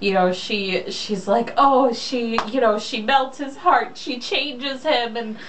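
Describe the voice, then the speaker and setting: Sarcastic woman w a highish voice, takes her time, blunt and sweary, mic kinda far away. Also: takes it slowly. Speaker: co-host reading a romance novel aloud. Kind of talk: casual mocking chat and amused reading aloud. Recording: distant mic, faint background noise.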